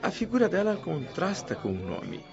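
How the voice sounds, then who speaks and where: A man's voice: high-pitched, wildly swooping pitch, moderate pace, creaky, dull audio, over music, heavily acted. A Brazilian actor playing an earnest, curious man new to the spirit world in a radio drama, speaking in a formal, reflective way. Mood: admiring. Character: André, curious, earnest, eager male newcomer to spirit world.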